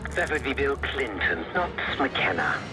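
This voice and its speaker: An actor playing a brisk, dry covert operative in a video game, with clipped, wry delivery. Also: male voice, medium pitch, big pitch swings. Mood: dry, corrective. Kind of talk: acted game cutscene dialogue. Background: music.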